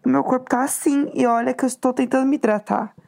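A Brazilian woman co-hosting a casual podcast, hoarse from losing her voice, chatty and telling stories with acted-out quotes. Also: high voice, fairly even intonation, quick pace, darkish tone. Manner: chatty, storytelling, acts out quotes. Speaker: podcast co-host. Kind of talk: casual chat.

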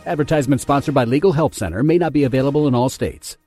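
A man's voice: medium pitch, very fast, mellow, soft background music. A man reading a scripted radio advertisement as its announcer.

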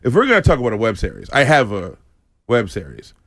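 Male comedian, animated storyteller, dry studio mic, middle pitch. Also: fast talker, no room sound. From Boston. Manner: animated storyteller, laughs often. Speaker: comedian guest. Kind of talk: casual radio chat.

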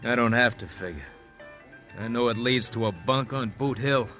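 A man playing a hot-headed, threatening gunman henchman in an old-time radio western, with a rough American cowboy way of talking. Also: mid-range voice, moderate pace, sharp tone, faint music behind, natural voice.

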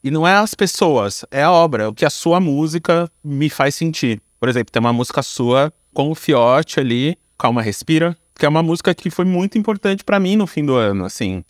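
A man's voice: on the high side, animated, a little fast, hardly any pauses, no echo, clean studio sound. A man with a Brazilian accent talking casually and reflectively in an interview, slangy and informal, thinking aloud. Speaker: podcast guest, content creator and director.

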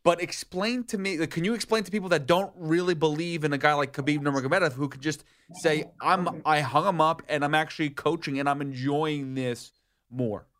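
A man's voice: a little high, fairly quick, fairly bright tone. A man in his late thirties hosting a podcast, asking long, rambling questions with many restarts. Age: 30s. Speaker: podcast host. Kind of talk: interview questions.

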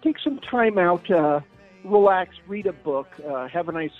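Male phone-in guest, slightly high voice, tinny line, dry self-deprecating wit. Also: animated, unhurried, faint music behind. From Upper Peninsula of Michigan. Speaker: guest commentator, editor. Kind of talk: phone-in interview.

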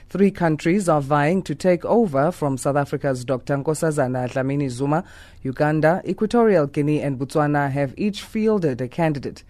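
A man's voice: fairly high pitch, mellow, dry recording. A man, a news anchor, reading scripted introductions.

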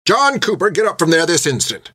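A man voicing a strict elderly nun who runs an orphanage, speaking in a sharp, commanding, scolding way. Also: fairly high pitch, very animated, brisk, husky, no echo, clean studio sound, pitched above the performer's own voice, heavily acted.

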